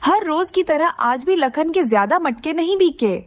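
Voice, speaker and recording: A woman's voice: sits high, animated, moderate pace, no echo, faint music behind. A woman reading scripted narration for a children's cartoon story.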